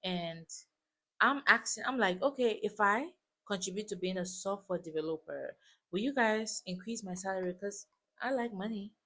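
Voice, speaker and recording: A woman's voice: mid-range voice, moderate pace, sharp tone. A woman in her twenties from West Africa, a native French speaker with a West African accent, chatting casually as a vlogger and telling a personal story with emphatic asides. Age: 20s. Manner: chatty, informal, emphatic asides and check-ins. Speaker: YouTube vlogger.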